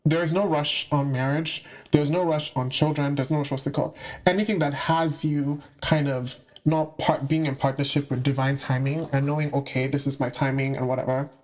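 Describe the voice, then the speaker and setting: A man's voice: a little high, steady tone, moderate pace. A young man from the UK giving a casual, slangy monologue to camera about spiritual topics, with playful asides. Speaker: spiritual content creator.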